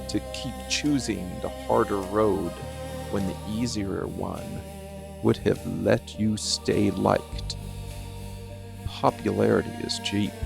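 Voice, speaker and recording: A man playing a cold, embittered dark-fantasy villain in an ASMR monologue, speaking deliberately with heavy pauses. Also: fairly low pitch, creaky, full character voice. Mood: accusing, cold.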